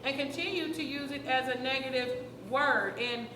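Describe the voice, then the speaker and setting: An earnest woman talks in a high voice, explaining at length with fillers. She is recorded in an echoey venue with noise in the background. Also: normal speed. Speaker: teacher speaking in a group discussion. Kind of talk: open discussion at a community event.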